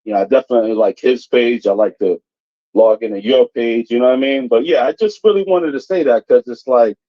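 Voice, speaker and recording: A man's voice: mid-range voice, brisk. A man calling into a livestream, talking in a loose, rambling way. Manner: conversational, rambling with fillers. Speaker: caller. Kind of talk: casual call-in chat. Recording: dull lo-fi call audio.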